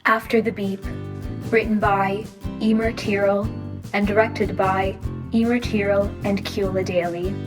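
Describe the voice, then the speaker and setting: A woman playing an impersonal announcer, reading scripted lines in a measured, deadpan way. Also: mid-range voice, music in the background, lightly acted. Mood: neutral credits reading. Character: flat, impersonal answering-machine announcer.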